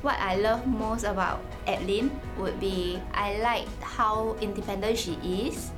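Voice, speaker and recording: Young woman, mid-pitched voice, calm and earnest, background music. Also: measured pace. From Singapore. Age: 20s. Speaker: interviewee, young mother. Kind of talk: interview answers to camera.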